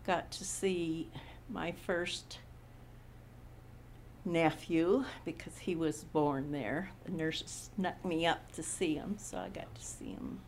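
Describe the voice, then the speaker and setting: A woman in her eighties from Montana, recalling her childhood slowly in an oral history interview. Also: sits low, fairly even intonation, darkish tone, slight background noise. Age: 80s. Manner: slow, unhurried recollection with frequent pauses. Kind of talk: reminiscing in a recorded interview.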